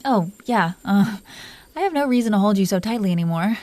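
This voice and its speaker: Dragon queen , female performer: mellow, mid-pitched, soft and halting, playfully possessive and affectionate; intimate romantic audio roleplay. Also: animated, dry recording, close to an everyday voice. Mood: teasing, affectionate.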